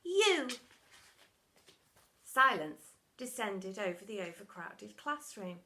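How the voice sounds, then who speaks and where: A woman's voice: on the high side, expressive, normal speed, pushed and bright. A woman narrating a children's story in a dramatic storytelling style, as for primary school pupils.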